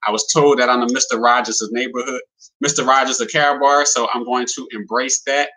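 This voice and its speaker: Bright-voiced man hosting a livestream. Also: medium pitch, steady tone, a little fast, poor audio. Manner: talks in a plain, simple way with many "you know" fillers; friendly, addresses viewers as "guys". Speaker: livestream host presenting a business opportunity. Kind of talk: casual livestream presentation.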